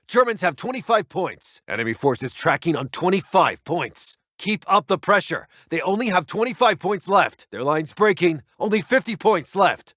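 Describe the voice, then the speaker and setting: A military intelligence officer in a war game, with a fairly high-set male voice. He reports to his commander in short, clipped, urgent phrases, dutiful and focused. The sound is very dry and close, free of room echo. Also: fairly bright tone. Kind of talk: military game announcer voice lines.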